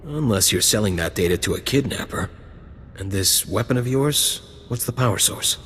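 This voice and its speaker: An actor playing a gruff, blunt gang lieutenant recruiting for a criminal crew in a video game. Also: male voice, lowish voice, expressive, normal speed, a bit bright, long reverb tail, slight background noise. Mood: testing, gruff. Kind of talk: video game dialogue acting.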